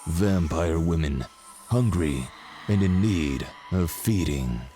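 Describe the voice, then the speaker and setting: A deep-voiced, grandiose narrator of a pulp adventure audio drama. His delivery is portentous and theatrical, set over a strong bed of music. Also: expressive, slow pace, warm tone. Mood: ominous. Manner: portentous, ominous.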